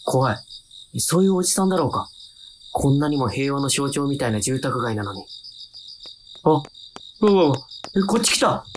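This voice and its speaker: A man's voice: medium pitch, lively intonation, moderate pace, pauses often, slight background noise, lightly acted. An actor playing a timid young man who narrates his own radio drama with wry, self-deprecating asides. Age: young adult. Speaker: narrator-protagonist. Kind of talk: radio drama inner monologue.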